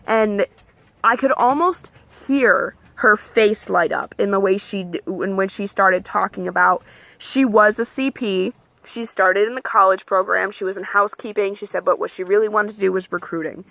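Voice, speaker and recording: A young adult woman talks straight to her viewers, sharing tips in a loose, candid way with lots of ums and likes. Her voice is bright with a gravelly edge of vocal fry. The sound is dry and close, with no room around it. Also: medium pitch, normal speed, no room sound. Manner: calls her own voice monotone. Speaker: vlogger giving application advice. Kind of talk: casual advice vlog.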